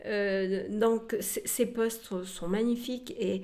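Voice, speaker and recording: Woman in a halting conversational interview. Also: mid-range voice, steady tone, mellow. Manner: halting, repeats words, trails into asides. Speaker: museum representative being interviewed.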